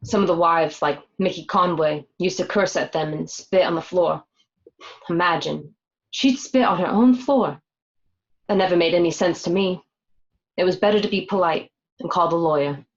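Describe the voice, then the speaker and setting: Gangster's wife for radio drama, played by a woman: lowish voice, candid, matter-of-fact, slightly dull lo-fi home recording. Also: normal speed, natural voice. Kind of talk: scripted first-person narration in an audio drama.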